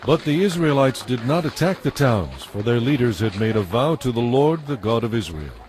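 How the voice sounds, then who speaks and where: A man narrating scripture from a script in a measured, solemn, dramatic way. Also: medium pitch, expressive, a little mellow, a little hiss or hum. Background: music.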